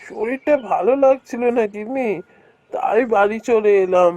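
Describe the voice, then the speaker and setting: A woman's voice: mid-range voice, moderate pace, warm tone, no echo, natural voice. A woman voicing an ailing, anxious village husband in a cartoon, speaking in a weary, pleading way. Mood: weary, unwell. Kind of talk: cartoon voice acting.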